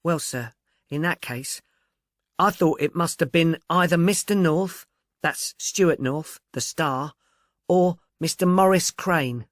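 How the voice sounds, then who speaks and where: A man's voice: fairly high pitch, takes it slowly, no echo, clean studio sound, voice pushed up. A man voicing a timid, deferential working-class young woman in an audiobook, speaking in a hesitant, polite, earnest way. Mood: polite, unsure. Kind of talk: audiobook character dialogue.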